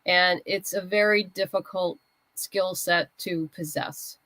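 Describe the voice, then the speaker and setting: A woman's voice: fairly low pitch, fairly even intonation, slow pace, a bit bright, no echo, rough recording. A woman writer chatting as an interview guest, candid and conversational, explaining things in loose fragments. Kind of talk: casual interview chat.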